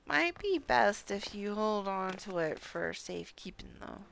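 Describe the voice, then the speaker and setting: A woman's voice: mid-range voice, very animated, a little slow, creaky, a bit bright, faint music behind, heavily acted. Woman reading as a folksy, bustling motherly game character. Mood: reassuring, curious. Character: brisk, chatty, motherly female game character. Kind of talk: reading game dialogue aloud.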